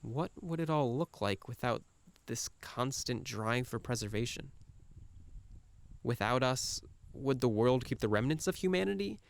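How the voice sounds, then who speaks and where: Smooth-voiced man musing reflectively. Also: mid-range voice, animated, a little slow. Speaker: monologue performer. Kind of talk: scripted first-person monologue.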